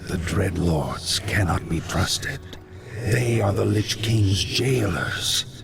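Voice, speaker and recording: A slow, gravelly necromancer ghost. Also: male voice, deep voice, expressive, noisy background, exaggerated voice. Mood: secretive, warning. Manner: slow, conspiratorial. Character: scheming male ghost of a dead necromancer. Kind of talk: fantasy video game acting. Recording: loud music under the voice.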